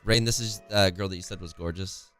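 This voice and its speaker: A young man with a worn-out, hoarse voice casting an esports match on a home stream, chatty and reading chat aloud, close to a studio mic. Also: low voice, brisk, a bit bright, soft background music. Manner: chatty, reads chat aloud, laughs often. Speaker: esports caster. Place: home streaming room, indoors. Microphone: studio condenser mic close in front, headphones.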